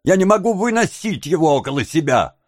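A man's voice: expressive, moderate pace, no echo, clean studio sound. A man voicing a bitter, defiant young woman of a thieves' gang in an audiobook, emotional and taunting. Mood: agitated, taunting. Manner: emotional, taunting, raised higher than the narration. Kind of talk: dramatised audiobook character.

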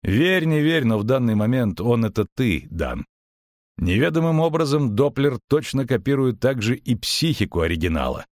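A man voicing a calm, laconic monster hunter who explains things in a muttering, matter-of-fact way in an audiobook. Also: mid-range voice, normal speed, dry recording, clean studio sound, lightly acted. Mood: gruff, matter-of-fact. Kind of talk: audiobook character acting.

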